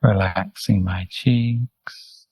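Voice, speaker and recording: A man leading a guided meditation as a teacher, speaking gently and soothingly in short, unhurried instructions with pauses. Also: lowish voice, steady tone, gravelly, husky, dry recording. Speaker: meditation teacher, Buddhist monk.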